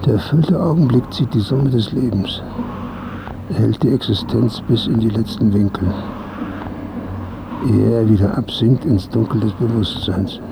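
An actor playing a vain, menacing writer in a radio play, pompous and contemptuous, his gravelly voice often sinking toward a whisper. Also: fairly low pitch, noisy background. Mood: solemn, brooding. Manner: pompous, deliberate, philosophizing.

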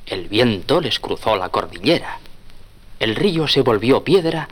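A man's voice: medium pitch, very animated, unhurried. A man narrating a scripted children's tale in a storytelling style.

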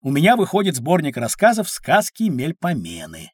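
An actor playing a kindly, knowledgeable uncle with a husky voice, narrating history for children in an educational audio drama. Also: male voice, on the high side, wildly swooping pitch, moderate pace, dry recording, studio quality, heavily acted. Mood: reading aloud. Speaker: storyteller guide for children.

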